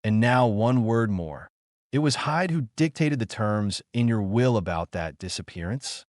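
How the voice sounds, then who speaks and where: Man playing a grave loyal lawyer, questioning, formal diction, expressive pitch, audiobook drama. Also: unhurried, no echo, clean studio sound. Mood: probing. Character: grave, probing gentleman lawyer, loyal and concerned. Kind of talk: dramatic audiobook character reading.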